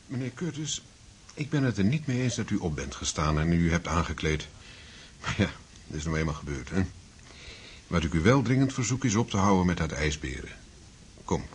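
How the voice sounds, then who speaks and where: An actor in a radio drama playing a firm, calm, formal doctor, on a noisy recording. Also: male voice, low-pitched, brisk. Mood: stern, calming. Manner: formal, admonishing. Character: firm, measured male doctor, stern but calm.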